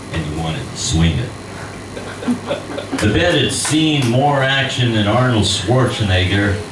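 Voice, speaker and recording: Slow, low-voiced man reading in a bar. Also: background noise. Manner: hesitant, self-deprecating chat before a measured, wry reading. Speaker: actor reading aloud. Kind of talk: live public reading of fiction. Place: cabaret bar. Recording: roomy, distant bar recording with loud ambience.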